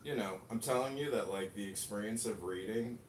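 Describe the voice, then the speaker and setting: A man's voice: lowish voice, fairly quick. A man hosting a podcast, talking in a rambling way, laughing and swearing casually. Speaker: podcast host. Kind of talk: casual chat. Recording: echoey room, distant mic, faint noise.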